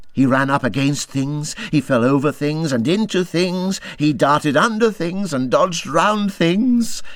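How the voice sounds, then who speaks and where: A man reading a classic children's animal story as scripted narration, in a dramatic, expressive style that moves between hushed and urgent. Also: medium pitch, no echo, clean studio sound. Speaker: audiobook reader.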